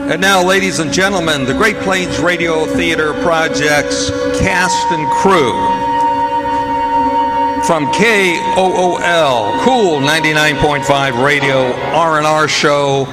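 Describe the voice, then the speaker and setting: A man's voice: fairly high pitch, slow, over loud music. A man on a theater stage formally introducing the cast and crew of a live radio play to an audience, with occasional ad-libbed asides.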